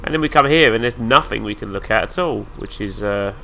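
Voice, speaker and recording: A man's voice: fairly low pitch, brisk, gravelly, pushed and bright, slight background noise. Chatty man, nasal with the flu. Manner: chatty, dry sarcastic asides. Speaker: gaming YouTuber. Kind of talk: casual let's play commentary.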